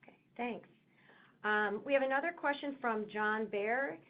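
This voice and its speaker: A woman's voice: a little high, a little slow. A woman moderator on an earnings call, reading investor questions aloud. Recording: muffled phone line, faint noise.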